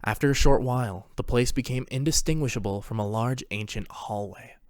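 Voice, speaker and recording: A man narrates a story from a script. His voice is medium in pitch, recorded very dry with no room sound around it. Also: a little fast, no echo.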